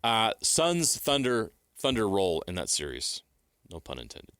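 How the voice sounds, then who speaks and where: An American man with a low, forceful voice, recorded dry and close. He talks sports like a radio host, laying out firm opinions in short declarative statements. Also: wildly swooping pitch, a little slow, smooth, dry recording. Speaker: sports talk show host. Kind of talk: sports talk, playoff predictions.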